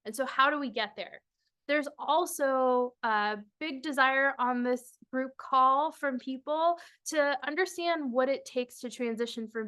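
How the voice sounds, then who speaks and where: Bright-voiced woman presenting a webinar. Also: a little high. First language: Spanish. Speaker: workshop presenter and consultant.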